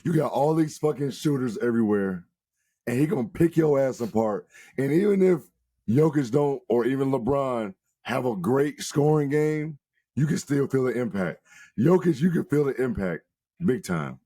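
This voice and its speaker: A man co-hosting a casual sports show, his voice worn hoarse and nearly gone. He argues hard and swears freely, mid-pitched. The sound is close and dry with no room around it. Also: moderate pace, no echo. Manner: animated, emphatic, swears freely. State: losing his voice. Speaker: podcast co-host.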